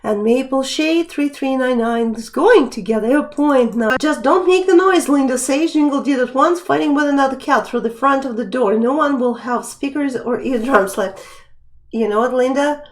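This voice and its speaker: A woman's voice: high voice, normal speed. A young woman hosting a live stream quiz, chatting casually as she reads out questions and viewer names. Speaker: live stream trivia host.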